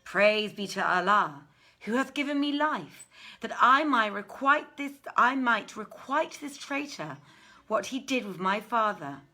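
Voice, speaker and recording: A woman reading an old literary tale aloud in a theatrical, measured and deliberate manner. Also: fairly high pitch, lively intonation, bright, forceful tone, faint music behind. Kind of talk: dramatic literary story reading.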